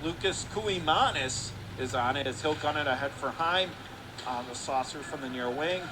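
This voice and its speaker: A man calling live radio play-by-play of a hockey game, talking rapidly and rising to excited shouts on close plays. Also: fairly high pitch, noisy background.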